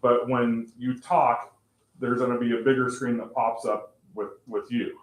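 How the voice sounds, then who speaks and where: A man explaining tech options to a city council, halting and full of fillers and restarts. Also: medium pitch, flat delivery. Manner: says he has a loud voice. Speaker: tech presenter at council meeting. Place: council meeting room. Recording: echoey room, far from mic.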